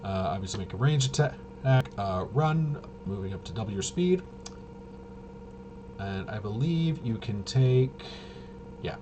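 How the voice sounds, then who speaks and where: A man casually rambling through tabletop game rules, haltingly, often trailing off and correcting himself. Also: on the low side. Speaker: host. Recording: clear steady background noise.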